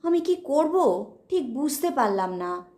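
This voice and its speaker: A woman narrating a dramatic scripted audio story in a measured, expressive way, with deliberate pauses. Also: high voice. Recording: roomy, reverberant voice track.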